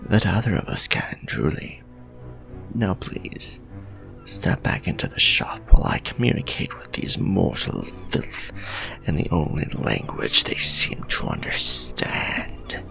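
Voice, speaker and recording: A man acts out a proud vampire lord in a gothic audio roleplay, courtly and menacing. His delivery is slow and creaky, sweeping widely in pitch through grand archaic phrases, with breathy half-whispered edges. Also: very animated, bright, forceful tone, soft background music, exaggerated voice, poor audio. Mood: cold contempt. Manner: formal, archaic, grandiose, dramatic deliberate phrasing. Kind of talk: gothic romantic ASMR roleplay monologue.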